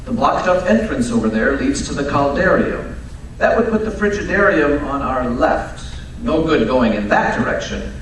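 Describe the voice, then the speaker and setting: A man playing a brisk, learned Victorian detective in a live old-time radio drama, precise, commanding and drily teasing. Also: mid-range voice, big pitch swings, fairly bright tone. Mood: precise, explanatory. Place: live stage performance at radio club event. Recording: very echoey hall, distant mic, faint noise.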